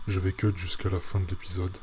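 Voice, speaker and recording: Deep-voiced, even-toned male narrator. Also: brisk. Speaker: video narrator. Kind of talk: scripted narration with French translation read-out. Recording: clearly noisy recording.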